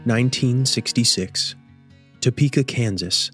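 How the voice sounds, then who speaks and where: A man hosting and narrating a radio drama as a measured, scripted storyteller. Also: mid-range voice, fairly bright tone, soft background music. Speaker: host and narrator.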